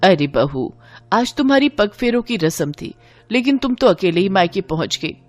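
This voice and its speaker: A woman voicing a gentle, patient middle-aged mother-in-law with a mild, coaxing manner in a family story. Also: mid-range voice, very animated, normal speed, soft background music, close to an everyday voice.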